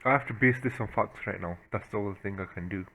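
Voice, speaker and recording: A man's voice: on the low side, fast talker, fairly bright tone, low-quality recording. A man hosting a reaction video, thinking aloud and hesitating as he guesses. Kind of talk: casual reaction commentary.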